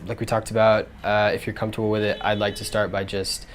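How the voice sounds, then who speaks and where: Young male student interviewer, low flat voice, talks fast and polite. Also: slight background noise. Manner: polite, uses fillers. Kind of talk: interview questions.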